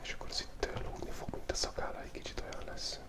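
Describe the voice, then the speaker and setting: An ASMR artist whispering softly while drawing, thinking aloud in a relaxed, playful way. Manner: soft unvoiced whisper throughout.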